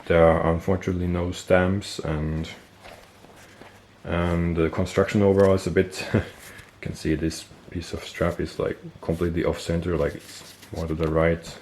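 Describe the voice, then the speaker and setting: A man with a deep, gravelly voice and a stuffy nose talks through a piece of gear on camera. He is relaxed and unhurried, thinking aloud, trailing off and correcting himself as he goes. A faint hiss of background noise sits under his voice. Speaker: military gear collector and video host. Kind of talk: casual show-and-tell explanation.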